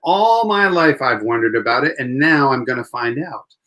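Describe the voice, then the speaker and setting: A man's voice: mid-range voice, animated, moderate pace, sharp tone, low-quality recording. A man playing a wry, lovelorn young lawyer in a 1940s-style radio play.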